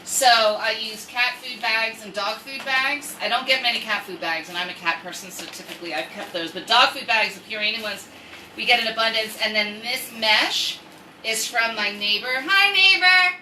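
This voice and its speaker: A woman with a mid-pitched, sharply bright voice chats casually as a livestream host. She rambles and interrupts herself as she goes. The sound is roomy and off-mic, with faint noise behind her. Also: moderate pace, far from the mic. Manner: rambling, self-interrupting, animated asides. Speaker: online storytime host and craft seller.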